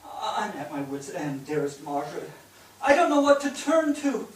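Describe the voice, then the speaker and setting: Guy acting broken 1880s husband, pleading, really high voice swinging around, far off in big echo. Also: big pitch swings, moderate pace. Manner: pleading, anguished. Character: desperate, broken 19th-century husband, a drinker. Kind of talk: staged reading of a period drama. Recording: very echoey theatre, distant microphone.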